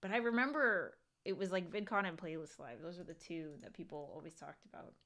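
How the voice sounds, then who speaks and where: A woman co-hosting a casual livestream podcast, talking in an animated way with lots of fillers and a strong, carrying voice. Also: lowish voice, fairly quick. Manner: animated, thinks aloud with many "like" fillers. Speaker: co-host.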